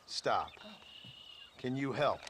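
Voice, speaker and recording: Gruff, drawling gunslinger turned rancher. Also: male voice, medium pitch, expressive, extremely slow, a bit bright, slight background noise. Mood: defensive, dry. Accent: American, rural frontier drawl. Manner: plainspoken, dry, folksy. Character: gruff, plainspoken reformed gunslinger turned rancher, devoted husband. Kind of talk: naturalistic video game drama acting.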